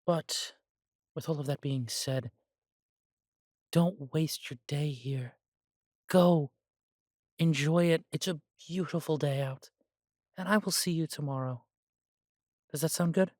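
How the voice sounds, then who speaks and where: Man playing a humble, gentle elf shopkeeper, dry close sound. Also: a little high, slow, pauses often, no room sound. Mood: warm, friendly. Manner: gentle, chatty, self-deprecating. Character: immortal elf man, humble self-doubting coffee shop owner. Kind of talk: fantasy roleplay audio drama acting.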